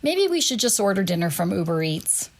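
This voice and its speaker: Man acting as skeptical partner in an ad, very lively intonation, suggesting takeout. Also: high-pitched, brisk, vocal fry, heavily acted. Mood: doubtful, suggesting. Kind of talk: radio commercial acting.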